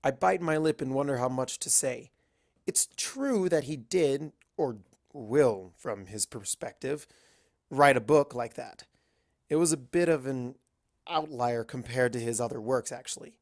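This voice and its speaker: A man's voice: medium pitch, a little slow. A man reading a first-person story aloud as scripted narration. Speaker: fan fiction reader.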